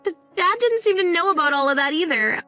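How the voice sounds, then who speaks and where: A woman's voice: very high-pitched, lively intonation, brisk, pushed and bright, faint music behind, voice pushed up, heavily acted. A woman playing a frightened teenage daughter, whiny and pleading, in a dramatized story. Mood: alarmed, tattling.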